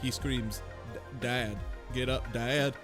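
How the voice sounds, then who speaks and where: A man's voice: medium pitch, fairly even intonation, takes it slowly. A man co-hosting a casual comedic chat, sarcastic and sweary, acting out mock dialogue. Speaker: podcast co-host. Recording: music playing behind the voice. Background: music.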